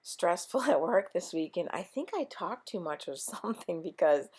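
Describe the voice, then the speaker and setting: A woman with a voice she says is going hoarse, chatting casually through a scrapbooking tutorial with lots of ums. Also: lowish voice, animated, moderate pace, a little mellow. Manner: rambling, chatty, many ums. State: losing her voice. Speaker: scrapbooking vlogger. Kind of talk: casual craft tutorial chat.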